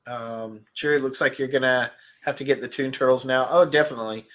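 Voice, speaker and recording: A man's voice: mid-range voice, fairly quick. Stuffed-up nasal man chatting casually. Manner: relaxed, chatty, reads viewer comments aloud. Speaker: host. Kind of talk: casual livestream chat about toys.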